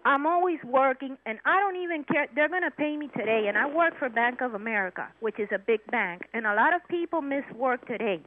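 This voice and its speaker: A woman's voice: sits high, expressive, brisk, hardly any pauses. A woman calling in to a radio show, talking insistently and over the other speakers. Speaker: caller. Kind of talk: heated call-in talk. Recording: tinny phone line with faint hiss.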